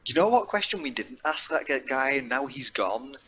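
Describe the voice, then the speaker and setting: A man's voice: medium pitch, sing-song, brisk, bright, forceful tone, lo-fi sound, a little hiss or hum. A man playing a tabletop roleplaying game, chatting casually in character in a hesitant, deliberating way.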